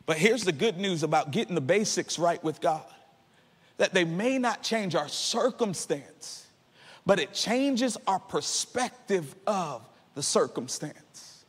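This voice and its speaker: A man's voice: high voice, lively intonation, slow pace, husky. A man in his thirties preaching a sermon from a church stage into a handheld mic, rising into half-sung, impassioned peaks. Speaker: pastor. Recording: long hall reverb.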